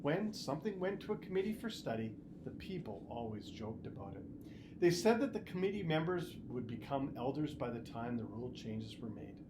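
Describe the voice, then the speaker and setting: Animated man reading a story aloud. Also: medium pitch, fairly quick. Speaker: school superintendent reading aloud. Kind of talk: expressive audiobook-style story reading. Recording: noticeable background noise and ambience.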